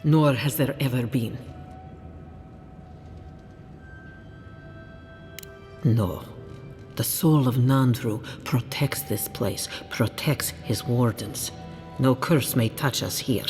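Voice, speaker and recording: A stern, proud fantasy game councillor, voiced by a man, mid-pitched and imperious. He challenges formally in a long hall reverb, with faint music behind. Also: takes it slowly, darkish tone, natural voice. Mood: proud, defiant. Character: proud, stern, suspicious council leader guarding a sacred hold. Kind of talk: dramatic fantasy game acting.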